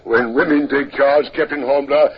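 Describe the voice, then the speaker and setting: An actor playing a hearty, commanding British admiral with clipped, jovial delivery in a 1950s radio drama. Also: male voice, medium pitch, fairly even intonation, normal speed, lightly acted. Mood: bluff, genial. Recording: dull, noisy 1950s radio recording.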